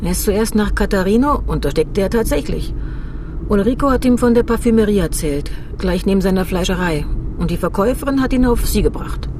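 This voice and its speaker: An actress in a German radio crime drama playing a young, foul-mouthed gangster's moll who is defiant and scared, talking crudely. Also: female voice, mid-range voice, lively intonation, fairly quick, noisy background, close to an everyday voice. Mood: frightened. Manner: brash, crude, slangy.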